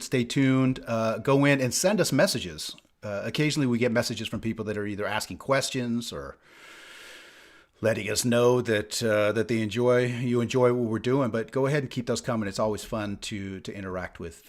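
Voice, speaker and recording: Rambling male podcast co-host. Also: mid-range voice. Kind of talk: casual podcast banter.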